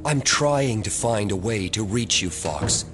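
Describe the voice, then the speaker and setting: An actor playing a solemn, quietly menacing psychic in an audio drama, speaking in portentous statements. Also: male voice, lowish voice, animated, measured pace, faint music behind. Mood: quietly insistent.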